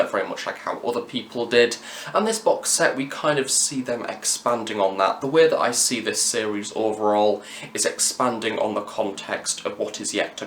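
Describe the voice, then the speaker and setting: Young guy doing a chatty review vid, lowish voice, pretty echoey room. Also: a bit bright, a little hiss or hum. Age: young adult. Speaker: review channel host. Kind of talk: scripted-sounding audio drama review to camera. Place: room in university campus housing. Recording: echoey, roomy sound.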